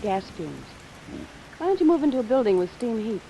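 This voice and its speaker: A woman's voice: on the high side, very animated, a little fast, gravelly, dark tone, slight background noise, low-quality recording. An actress playing a guarded, world-weary but tender noir woman in a 1940s radio drama, terse and understated. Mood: guarded, cool. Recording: vintage radio recording with rain sounds.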